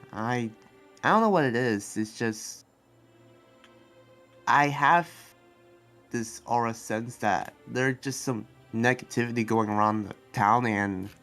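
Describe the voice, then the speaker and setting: A man playing a kind, idealistic young demon slayer and researcher in an improvised roleplay, speaking earnestly and haltingly. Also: medium pitch, a bit bright. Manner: hesitant, earnest, halting with restarts.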